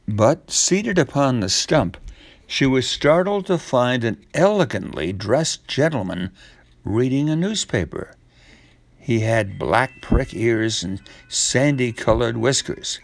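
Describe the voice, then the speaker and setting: A man narrating a children's storybook from a script, with deliberate, expressive phrasing. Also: mid-range voice, creaky.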